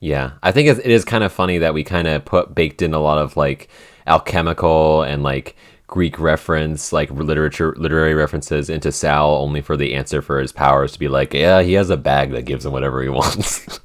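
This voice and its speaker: A man's voice: rumbling low, brisk, no echo, clean studio sound. A man hosting a casual podcast Q&A, talking in a rambling, informal way. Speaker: podcast host and show's writer. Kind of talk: casual Q&A chat.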